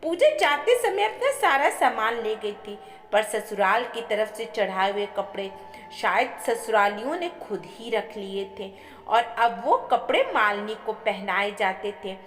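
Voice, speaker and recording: A woman's voice: mid-range voice, lively intonation, a little slow, soft background music. A woman narrating a scripted, emotional family story as a storyteller. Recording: echoey with long reverb.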